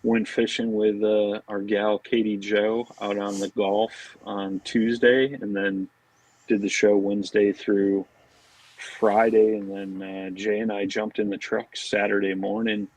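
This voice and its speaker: A man chatting casually as a podcast guest, talking in a loose, halting way. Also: on the low side, flat delivery, a little mellow, no echo, rough recording. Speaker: podcast guest, fishing brand marketing director. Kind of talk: casual chat.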